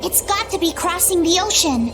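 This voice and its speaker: A woman's voice: very high-pitched, animated, moderate pace. A woman voicing an odd, earnest little girl in a video game, with music playing behind her. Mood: urgent, earnest.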